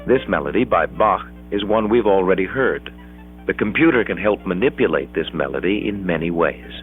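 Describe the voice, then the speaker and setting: Educational film narrator, American male, middle-aged, very deep register, measured scripted delivery, thin vintage 16mm sound. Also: animated, narrow, tinny audio, soft background music. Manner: measured, explanatory.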